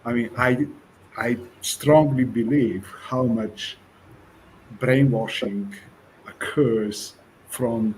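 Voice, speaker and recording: A man's voice: medium pitch, steady tone, drawn-out words, darkish tone, a little hiss or hum, poor audio. A man from Bulgaria on a forum panel, speaking earnestly and a little apologetically, with hesitations. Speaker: panel participant. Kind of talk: discussion on a web forum call.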